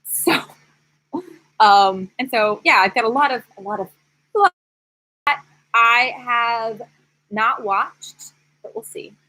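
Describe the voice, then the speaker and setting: Woman, thirties, bright voice, candid, animated, casual live-stream host, rambling chat. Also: a little high, slow, pauses often, rough recording. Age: 30s. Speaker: YouTube host, former teacher. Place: screened outdoor porch.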